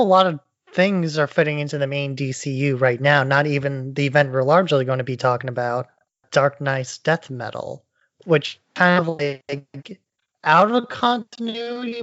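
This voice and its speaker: A man's voice: fairly high pitch, expressive, a little slow, a bit bright, dry recording. Man co-hosting, dry and dull lo-fi audio. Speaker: podcast co-host. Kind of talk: casual podcast discussion.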